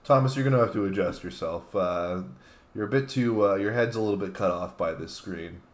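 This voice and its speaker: Low-voiced man in an echoey room. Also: brisk. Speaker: talk show co-host. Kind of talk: casual chat. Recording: roomy, echoing room sound.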